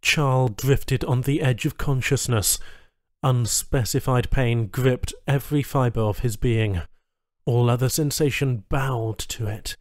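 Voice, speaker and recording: A man's voice: medium pitch, steady tone, a little slow, a little mellow, dry recording. A man narrating a scripted story as an audiobook reader, recorded close to the microphone.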